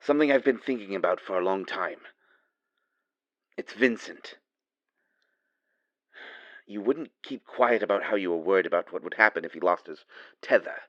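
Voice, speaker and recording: A man's voice: sits low, normal speed, long pauses, fairly bright tone, no room sound, lo-fi sound. A man playing a worried, secretive ally in an audio roleplay, speaking urgently and dramatically as if leaving a phone message. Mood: grave warning. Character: urgent, worried male ally delivering a grave warning.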